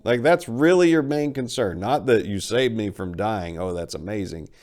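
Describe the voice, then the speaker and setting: A man's voice: fairly low pitch, moderate pace, a little mellow. A man reading stories aloud and hosting, with a theatrical, emphatic way of talking. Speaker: storyteller and show host. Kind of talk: dramatic story reading with casual commentary.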